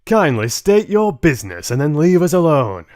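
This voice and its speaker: A man's voice: fairly high pitch, sing-song, normal speed, darkish tone, voice pushed up. A man voicing a large, coarse, easily irritated father in a brusque, pompous manner for a storybook. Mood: stubborn, annoyed.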